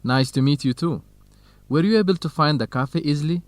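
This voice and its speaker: A man's voice: fairly high pitch, brisk, dry recording, lightly acted. A young man playing an eager, nervous journalism student in an educational radio drama, speaking earnest, carefully enunciated English. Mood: polite.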